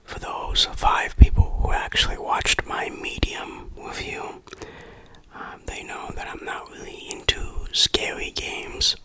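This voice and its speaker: An ASMR game reviewer speaks entirely in a whisper, with a bright, crisp edge to the breath. The delivery is very slow and deliberate, with a playful touch.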